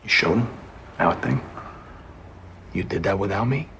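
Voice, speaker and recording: An incredulous, offended schemer in a film drama. His voice sits low, over faint background noise. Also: expressive, measured pace, creaky, pushed and bright, full character voice. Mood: hurt disbelief.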